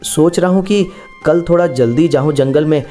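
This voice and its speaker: A man's voice: a little high, moderate pace, mellow, faint music behind, close to an everyday voice. A man voicing a poor, disheartened woodcutter in a children's cartoon moral story. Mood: weary, worried. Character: poor, worried woodcutter husband and son, disheartened. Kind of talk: animated moral story dialogue in Hindi.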